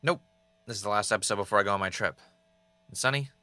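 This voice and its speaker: Man acting as a brooding, low-voiced podcaster. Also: fast talker, sharp tone, dry recording, faint music behind. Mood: earnest, wistful. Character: brooding, paranoid male podcaster searching for answers. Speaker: podcast host character and narrator. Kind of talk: audio drama monologue and dialogue.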